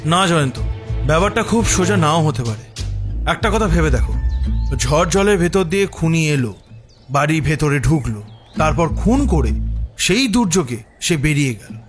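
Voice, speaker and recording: A man's voice: a little high, close to an everyday voice. Casting: male actor for questioning detective's companion, raised register, bouncy intonation, audio mystery with music bed. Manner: animated, questioning. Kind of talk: dramatised Bengali detective audio story. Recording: music behind the voice.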